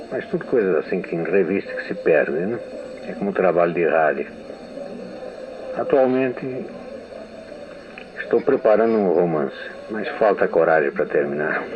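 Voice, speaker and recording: An older man from Brazil with a Brazilian Portuguese accent, telling memories in a meandering radio interview and often restarting sentences. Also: deep voice. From São Paulo state, Brazil. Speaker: interviewed writer. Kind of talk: archival radio testimony. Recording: narrow, phone-like old radio archive.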